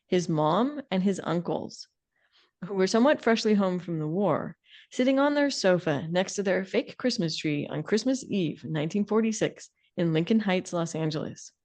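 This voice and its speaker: A woman's voice: medium pitch, a little mellow. A middle-aged woman co-hosting a conversational podcast, talking in an opinionated, emphatic way. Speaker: podcast co-host.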